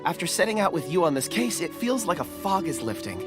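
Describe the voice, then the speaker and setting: A man playing a gentle, polite and dreamy young character in a video game, earnest in manner. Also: a little high, sing-song, a little fast, over music. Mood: grateful.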